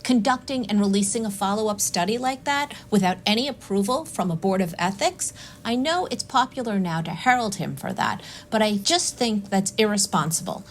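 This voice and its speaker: An actress playing a stern, unsympathetic academic adviser in an audio drama, firm and official in manner. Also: female voice, medium pitch, expressive, moderate pace, a little hiss or hum, natural voice. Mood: stern, admonishing.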